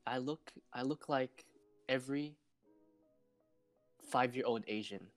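Young dude hosting a podcast, relaxed slangy chat, mid pitch, light music behind. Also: male voice, slow. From Philippines. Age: 20s. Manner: chatty, laid-back slang. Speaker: podcast co-host. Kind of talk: casual chat.

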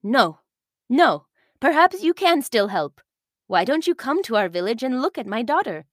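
A woman plays the leader of an island village in a children's storybook, a grown woman who speaks with earnest feeling. Her voice sits on the high side and swings widely in pitch, recorded close and dry. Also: big pitch swings, normal speed, no echo. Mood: pleading. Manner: earnest and emotional.

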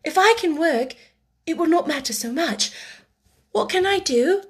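A woman's voice: medium pitch, big pitch swings, slow pace. A woman voicing a proud, steadfast little orphaned girl in an audiobook, restrained, polite and a little mournful. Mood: steady, relieved. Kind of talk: audiobook character acting.

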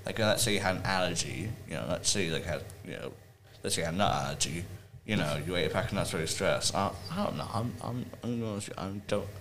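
Very deep-voiced, halting young man. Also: expressive, fairly bright tone, slight background noise. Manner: halting, restarts and hedges often. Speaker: podcast co-host. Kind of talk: casual chat.

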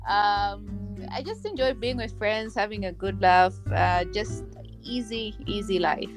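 A woman in her thirties from Malawi talks through financial ideas steadily, stopping for plenty of ums and uhs. Her pitch sits in a middle range, and faint guitar music plays underneath. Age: 30s. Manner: measured, explanatory, with frequent fillers. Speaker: guest, finance executive. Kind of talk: podcast interview.

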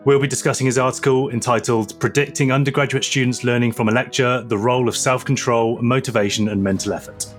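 A man hosting an academic podcast, asking questions quickly with many repeated words and restarts. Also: mid-range voice, fairly even intonation, soft background music. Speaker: podcast host, professor. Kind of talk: podcast interview.

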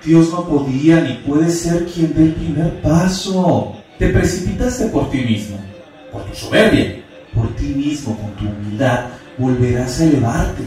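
A man's voice: fairly high pitch, expressive, unhurried, mellow. A man acting as God's angelic messenger in a radio play, solemn and pleading, with declamatory, preaching speech. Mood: earnest, reproving. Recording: very echoey, distant, music underneath.

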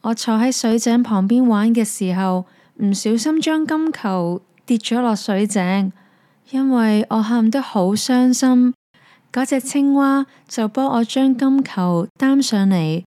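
A woman with a Hong Kong Cantonese accent voicing a fretful young princess who speaks higher and more plaintively. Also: measured pace, mellow, dry recording, studio quality, natural voice. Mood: anxious.

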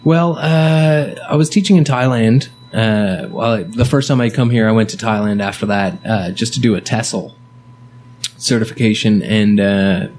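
A young man from Canada chatting casually as an interview guest, relaxed and halting with many fillers. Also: fairly low pitch, a little hiss or hum. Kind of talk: casual chat.

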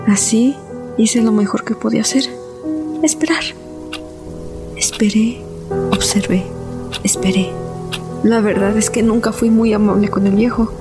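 A woman's voice: on the high side, steady tone, measured pace, long pauses. A woman acting the part of an obsessive murderess who insists she is sane, speaking in a brooding, repetitive, dramatic way in a radio drama. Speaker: narrator and protagonist. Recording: voice over loud music.

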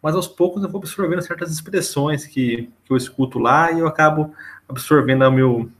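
Chatty São Paulo podcast host. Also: male voice, on the high side, a little fast. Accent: São Paulo Portuguese. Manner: loose, chatty, with filler tags. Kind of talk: casual weekly chat.

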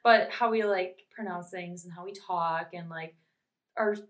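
A young woman co-hosting a podcast in casual chat, with a naturally deeper, raspy voice, while she is losing her voice. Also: unhurried, slight background noise. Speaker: podcast co-host.

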